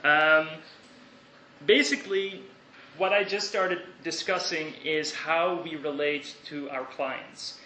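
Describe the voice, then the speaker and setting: A man's voice: fairly high pitch, fairly even intonation, sharp tone, slight background noise. Dutch man lecturing in an echoey hall. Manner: thoughtful, measured, with hesitations and restarts. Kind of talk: academic lecture.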